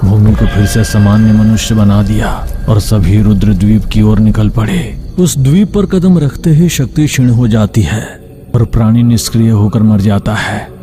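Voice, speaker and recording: A man narrating an animated folk tale as scripted, dramatic storytelling. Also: lowish voice, moderate pace, faint music behind.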